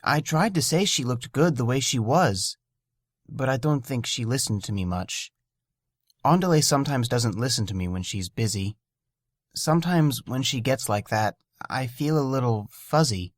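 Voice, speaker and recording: A man narrating a scripted story in a gentle, wondering way. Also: mid-range voice, animated, normal speed, no room sound, clean studio sound.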